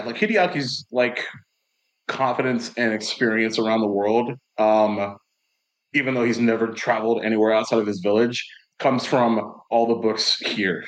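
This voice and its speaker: A man's voice: medium pitch, rough recording. A man playing a tabletop game, describing scenes in a rambling, casual way with many fillers. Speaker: player.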